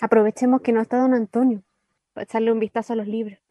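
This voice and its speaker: Radio drama role: actress for earnest young woman carrying family grief, Chilean accent, slightly high yet soft mellow voice. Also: little pitch movement, fast, no room sound, lo-fi sound, lightly acted. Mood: urgent.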